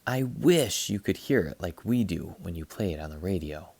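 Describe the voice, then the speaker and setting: A man voicing a gentle, encouraging mother in a children's storybook, soft and reassuring. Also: low-pitched, animated, moderate pace, vocal fry, husky, no room sound, full character voice.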